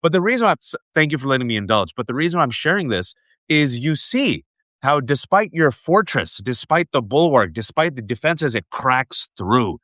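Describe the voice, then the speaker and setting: A man in his forties from the United States, hosting an interview and telling animated personal stories with lots of asides. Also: mid-range voice, moderate pace, no room sound, clean studio sound. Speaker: host. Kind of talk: conversational interview.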